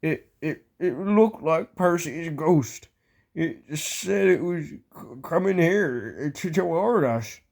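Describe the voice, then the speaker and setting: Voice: mid-range voice, slow, hardly any pauses, darkish tone, pitched above the performer's own voice. A storybook reader voicing an old, timid male tram engine who speaks in a shaky, stammering way. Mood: scared.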